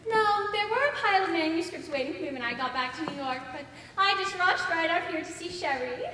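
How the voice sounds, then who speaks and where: A woman's voice: very high-pitched, animated, a little fast. A woman playing a vain, gushing socialite actress in a stage comedy, with grand, affected delivery and lavish endearments. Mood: affected, breezy. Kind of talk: stage comedy acting, projected and theatrical. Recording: echoey hall from far off, faint noise.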